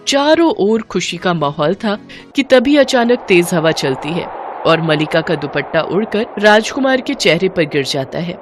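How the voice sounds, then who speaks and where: A woman narrator telling a scripted children's story in an expressive storytelling manner. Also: on the low side, measured pace, faint music behind.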